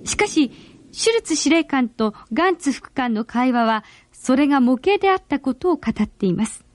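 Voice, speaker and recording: A woman narrating a radio drama in a deliberate, expressive storytelling manner, as in a 1970s broadcast. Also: sits high, no room sound.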